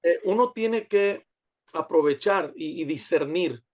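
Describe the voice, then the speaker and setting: A fairly high-voiced man from the United States, a pastor answering interview questions. He talks in an earnest, preaching way, stopping and restarting his sentences. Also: expressive, unhurried, muffled recording. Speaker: pastor, guest. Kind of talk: conversational interview.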